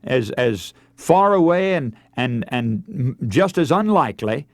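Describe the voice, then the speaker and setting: A man delivers a scripted radio sermon in a mid-pitched voice that rises and falls with great animation. He is earnest and emphatic, an orator addressing his listeners directly with rhetorical questions. The sound is close and dry, with very little room around him. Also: very animated, a little slow, no room sound. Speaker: radio preacher and broadcast host.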